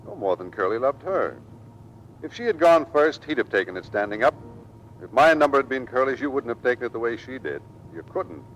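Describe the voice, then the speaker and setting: Dutiful officer husband, medium pitch, very lively intonation, old radio drama, faint rumbling noise. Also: male voice, a little fast. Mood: busy, firm. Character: steady, dutiful navy squadron commander and affectionate husband. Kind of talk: 1940s radio acting. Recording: noisy old radio broadcast, low rumble.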